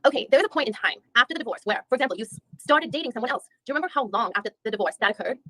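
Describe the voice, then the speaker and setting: A woman reads a dogged female attorney working through a deposition. She fires off methodical questions at a very quick clip, often restarting mid-sentence. The sound is close and dry. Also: fairly high pitch, lively intonation, sharp tone, no room sound, rough recording. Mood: probing. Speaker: reader in a deposition reenactment. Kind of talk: read-aloud legal reenactment.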